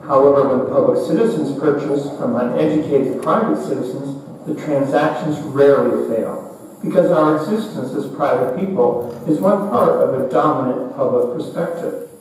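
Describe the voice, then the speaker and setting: A man's voice: mid-range voice, normal speed, a little mellow, close to an everyday voice. A man acting a didactic orator in a stage play, delivering a formal, declarative monologue. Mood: formal, instructive. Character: didactic male orator lecturing on public society. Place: theater stage. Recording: echoey theater, distant mic, faint noise.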